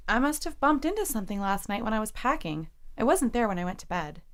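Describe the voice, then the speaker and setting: A fairly high, girlish voice for an earnest, naive girl of seventeen. She talks casually, in the natural style of an audio drama. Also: big pitch swings, quick pace. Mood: matter-of-fact. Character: naive, earnest seventeen-year-old girl starting university.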